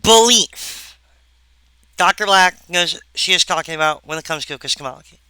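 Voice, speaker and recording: A woman giving a recorded philosophy lecture, halting and full of wry asides to the viewer. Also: on the low side, bright, forceful tone, clear, resonant tone, no room sound.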